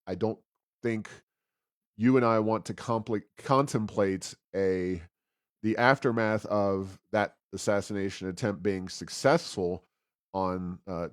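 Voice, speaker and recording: Low-voiced man, halting political commentary. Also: dry recording, clean studio sound. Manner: halting, frequent pauses mid-sentence. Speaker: podcast host.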